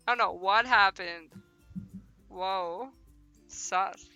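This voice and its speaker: A woman with a bright, forward voice and middling pitch hosts a game stream. She talks in loose, slangy, expressive banter, with faint music playing underneath. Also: drawn-out words, muffled recording. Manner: slangy, expressive, prone to giggling. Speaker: streamer hosting a visual novel playthrough. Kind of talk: casual live-stream banter.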